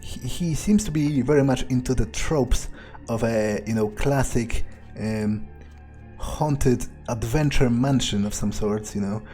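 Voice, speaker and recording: A man's voice: medium pitch, a little slow, mellow. A man giving in-character video game commentary, thinking aloud in a hesitant, musing way with dry understatement. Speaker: video game player role-playing his character. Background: faint music.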